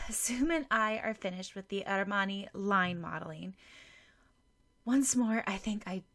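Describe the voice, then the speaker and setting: A woman's voice: mid-range voice, a little slow, fairly bright tone. A woman voicing a self-assured young model-actress in an audiobook, breezy and chatty. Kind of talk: audiobook character dialogue.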